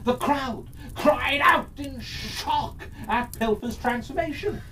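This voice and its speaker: A storyteller narrating a children's fairytale in a theatrical way, pausing often within phrases. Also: high voice, recorded from a distance, background noise.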